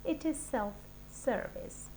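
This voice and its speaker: A woman's voice: high-pitched, takes it slowly, darkish tone, slight background noise, pitched above the performer's own voice, rough recording. A woman reading the part of a mild-mannered, cheerfully scheming male schoolteacher in a children's storybook. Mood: showing round.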